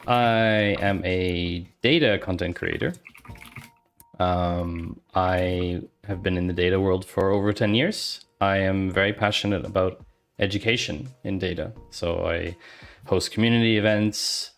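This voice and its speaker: Low, gravelly-voiced woman streamer. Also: steady tone, measured pace, faint music behind. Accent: neutral Canadian-Swiss. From Switzerland and Canada. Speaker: data content creator. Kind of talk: casual livestream chat.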